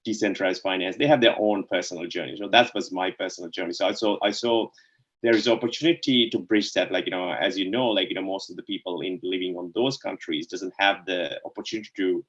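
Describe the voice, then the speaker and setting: A low-pitched man from Sri Lanka speaks as an interview guest, circling his points with "like, you know" and tagging them with "right?". The sound is a little muffled and lo-fi. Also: fairly even intonation, quick pace. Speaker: guest, DeFi co-founder. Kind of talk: casual interview answers.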